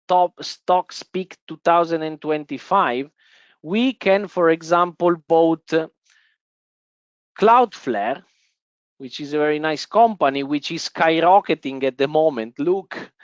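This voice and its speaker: A man's voice: on the high side, lively intonation, takes it slowly, no room sound, lo-fi sound. A man from Italy with an Italian accent, hosting a live stock-investing webinar and talking to viewers with many fillers and restarts. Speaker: webinar host. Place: office in the Italian part of Switzerland.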